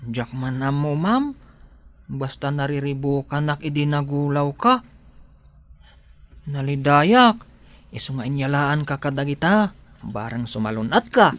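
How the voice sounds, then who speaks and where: A man's voice: fairly high pitch, expressive, unhurried, long pauses, fairly bright tone. A man with an Ilocano accent playing a loyal, protective household helper in an audio drama, speaking deferentially to his employer. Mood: tender, worried.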